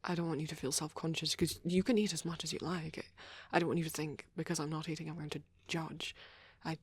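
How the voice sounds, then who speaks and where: A man playing a shy, devoted vampire boyfriend in an intimate ASMR roleplay, gentle, hesitant and stammering, with a breathy voice. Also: fairly high pitch, flat delivery, brisk. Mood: shy, anxious. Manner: hesitant, stammering, soft and rambling.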